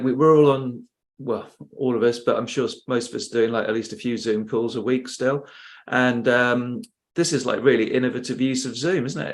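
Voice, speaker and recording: A man speaking as a guest on a video call, chatting casually and enthusiastically. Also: mid-range voice. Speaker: guest, client of the host. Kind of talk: casual chat.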